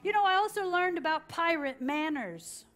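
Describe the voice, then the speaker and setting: High-pitched woman telling a children's story. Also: animated, moderate pace, over loud music. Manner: dramatic, prompts children to repeat lines. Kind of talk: animated storytelling read-aloud to young children. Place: children's library story room with an audience, indoors.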